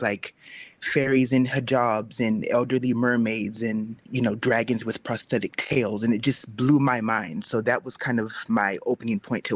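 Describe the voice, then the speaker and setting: A man talking casually and reflectively as a guest creator on a panel, pausing to find his words. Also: medium pitch.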